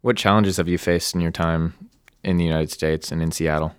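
Deep-voiced young interviewer, dry sound. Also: male voice, steady tone, smooth, no echo. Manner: casual, slightly halting questions. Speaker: student interviewer. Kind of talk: interview questions.

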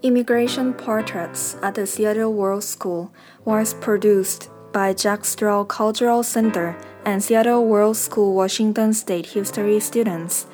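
A woman announcer giving a steady, formal reading of the production credits. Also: medium pitch, measured pace. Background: faint instrumental music.

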